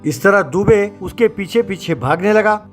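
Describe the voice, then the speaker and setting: A man narrating a cartoon story in a steady, plain storytelling voice. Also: high-pitched, a little slow, soft background music.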